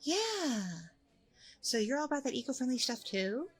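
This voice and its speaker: A woman playing a chatty, candid, self-deprecating single mom on a blind date in a casual audio roleplay, rambling informally with a creaky voice. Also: high voice, sing-song, moderate pace, heavily acted. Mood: friendly, chatty. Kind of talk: ASMR audio roleplay, casual conversational acting.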